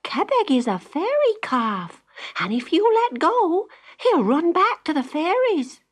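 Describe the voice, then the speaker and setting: Woman acting a high, urgent warning mother. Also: very animated, unhurried, heavily acted. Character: firm, cautioning farmer's wife, a mother. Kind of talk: children's storybook character voice.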